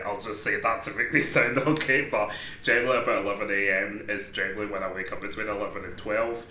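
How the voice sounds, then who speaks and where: Bright-voiced Glaswegian man in his twenties. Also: lowish voice, roomy sound, a little hiss or hum. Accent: Glaswegian Scottish. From Scotland. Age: 20s. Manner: chatty, self-deprecating, frequent ums. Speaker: interviewee describing his day. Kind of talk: casual monologue.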